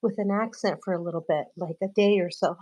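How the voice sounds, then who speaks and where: A woman's voice: fairly low pitch, fairly quick. A woman whose first language is Spanish chats casually with viewers during a live-streamed workout, laughing often and using many fillers. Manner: greets viewers warmly by name. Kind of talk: casual live stream chat while exercising.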